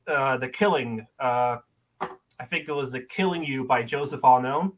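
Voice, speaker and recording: A man's voice: medium pitch, normal speed, fairly bright tone. A man calling in to an audition, chatting casually in a candid, polite way. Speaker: auditioning caller. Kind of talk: casual explanatory chat.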